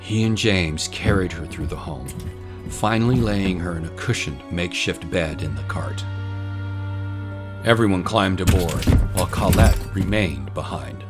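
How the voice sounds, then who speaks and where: A man's voice: deep voice, a little slow, over music. A man delivering scripted, dramatic narration of a story.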